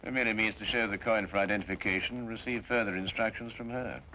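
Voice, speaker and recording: An actor playing a shrewd gentleman detective, languid and drily amused, in an old-time radio drama. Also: on the low side, steady tone, quick pace, natural voice. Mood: wryly assured. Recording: muffled, noisy old radio recording.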